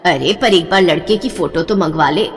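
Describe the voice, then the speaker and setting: A woman's voice: on the low side, moderate pace, long reverb tail, voice pushed down, lightly acted. A woman voicing a practical, exasperated middle-aged mother in a storybook, scolding and world-weary. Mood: cautioning. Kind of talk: audio storybook acting.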